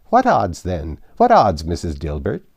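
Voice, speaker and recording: A man's voice: low-pitched, wildly swooping pitch, unhurried, creaky, dry recording, clean studio sound, full character voice. A man voicing a brazen working-class charwoman, bold and colloquial, in a storybook reading. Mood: brazen, defiant.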